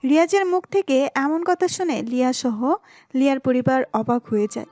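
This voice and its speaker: A woman's voice: high-pitched, normal speed, mellow. A woman reading scripted narration for a romantic story. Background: music.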